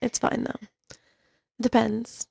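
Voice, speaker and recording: A woman's voice: on the high side, level tone, slow pace, vocal fry, darkish tone, breathy edge, no room sound. A young woman co-hosting a podcast, chatting casually with a giggly, rambling manner. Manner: giggly, rambling, self-deprecating. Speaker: podcast co-host. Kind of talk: casual chat.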